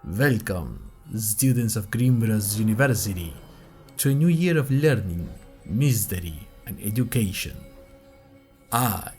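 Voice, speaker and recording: A man's voice: fairly low pitch, animated, a little slow, darkish tone, soft background music. Man playing a solemn, grandiose university director. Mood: grand, formal. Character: grandiose university director addressing new students. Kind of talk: ceremonial welcome speech.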